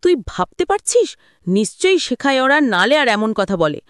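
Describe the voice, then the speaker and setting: A woman's voice: high-pitched, big pitch swings, normal speed, clean tone, dry recording, studio quality, voice pushed up. A woman voicing a domineering, resentful middle-aged mother in an audio story, nagging and snobbish as she scolds. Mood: indignant scolding.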